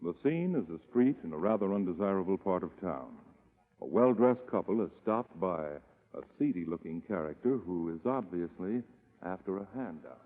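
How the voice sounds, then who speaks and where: A man's voice: deep voice, lively intonation, moderate pace, big-room sound. An actor playing Guy, a wry male narrator on a mystery publisher's staff in a 1940s radio drama. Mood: measured. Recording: tinny old radio broadcast with music.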